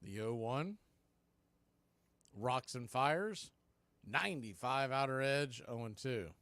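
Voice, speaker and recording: A man doing live baseball play-by-play on radio, speaking in clipped phrases with pauses between calls. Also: mid-range voice, animated, fairly bright tone, no echo.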